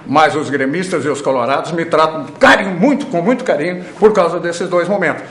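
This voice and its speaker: A man's voice: a little high, a little fast, fairly bright tone, roomy sound, slight background noise. A man in his sixties, a veteran sports radio announcer, recalling his career with emphatic pride. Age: 60s. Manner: proud, emphatic storytelling. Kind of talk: interview recollection.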